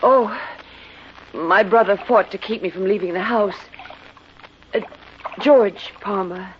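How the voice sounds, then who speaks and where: A woman's voice: mid-range voice, slow pace, lightly acted. An actress playing an anxious, pleading young society woman in a fifties radio mystery. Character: frightened, urgent young society woman in trouble. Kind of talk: 1950s radio drama acting. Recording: dull, noisy vintage radio recording.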